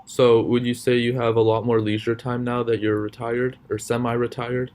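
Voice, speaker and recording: A young man conducts an interview, asking simple questions in a hesitant way and filling pauses with lots of ums. His voice sits at an ordinary middle pitch. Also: level tone, a little mellow.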